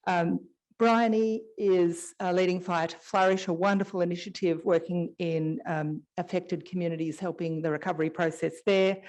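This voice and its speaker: Woman, panel moderator, somewhat low voice, chatty, conference hall mic. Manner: warm, chatty, easygoing asides. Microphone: shared stage microphone.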